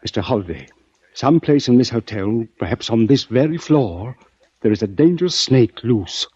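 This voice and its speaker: An earnest, desperate male doctor from a vintage radio play. His speech is courteous and halting, with pleading swoops up and down. The recording is dry and dull. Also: medium pitch, expressive, a little mellow, breathy edge, no echo. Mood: anxious, insistent. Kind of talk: old-time radio drama acting.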